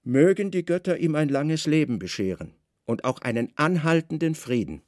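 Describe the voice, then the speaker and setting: A man's voice: a little high, expressive, normal speed, darkish tone, no room sound, studio quality, lightly acted. An actor playing a sly, good-natured Roman spymaster in a radio drama, deferential and careful in his courteous replies.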